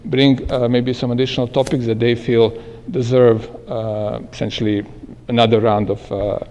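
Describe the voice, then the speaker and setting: A man moderating a panel at a live event, speaking haltingly with many filler sounds. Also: mid-range voice, flat delivery, a little mellow. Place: meeting room at an event. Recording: echoey hall with long reverb.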